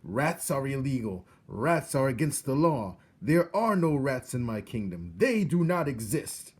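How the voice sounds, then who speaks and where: A man's voice: mid-range voice, big pitch swings, measured pace, slightly rough, pitched above the performer's own voice. A man voicing a stubborn, grief-stricken storybook king who bellows and dismisses what he is told. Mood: shouting denial.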